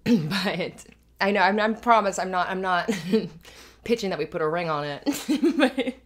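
A woman's voice: mid-range voice, expressive, a little slow, close to an everyday voice. A woman playing an affectionate, earnest, slightly anxious live-in girlfriend in a conversational, rambling, hesitant ASMR roleplay. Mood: playful teasing. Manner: rambling, hesitant, giggly asides. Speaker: audio roleplay performer.

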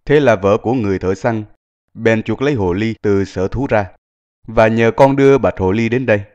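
Man, low voice, scripted cartoon narration, music behind. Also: moderate pace, a little mellow. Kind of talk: scripted storytelling narration for animated film. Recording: muffled audio under music.

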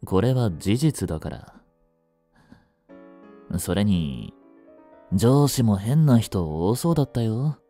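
Slow, mellow possessive young boyfriend, acted by a man. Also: fairly low pitch, big pitch swings, faint music behind. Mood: coaxing, controlling. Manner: soft, coaxing, controlling, intimate. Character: jealous, possessive yandere boyfriend, sweetly menacing. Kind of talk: Japanese romantic situation voice drama.

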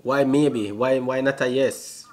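A man's voice: medium pitch, fairly even intonation, measured pace. A man in his twenties with a put-on Jamaican accent, cracking crude flirty jokes at strangers on a video chat in a small bedroom. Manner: brash, crude, teasing, talks over people. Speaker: vlogger on a video chat app.

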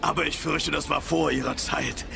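Radio drama alien dignitary, heavy creak, slightly high voice, courtly and effusive welcome manner. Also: male voice, steady tone, normal speed. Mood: gracious, proud. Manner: effusive, formal, polite. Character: courteous, proud, long-lived alien host showing visitors around. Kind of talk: science fiction radio drama acting. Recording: noisy ambience behind the voice.

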